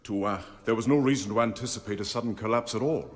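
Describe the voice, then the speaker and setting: An actor playing a proper, defensive family doctor, giving formal and sometimes hesitant evidence in a radio courtroom drama. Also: male voice, fairly low pitch, quick pace, close to an everyday voice. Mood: formal, guarded. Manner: formal, hesitant under pressure.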